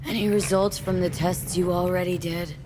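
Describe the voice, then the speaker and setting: A woman's voice: fairly low pitch, fairly even intonation, moderate pace, noisy background, natural voice. A woman playing a defiant, weary mercenary in a video game, speaking in terse, blunt bursts. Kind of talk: scripted video game acting.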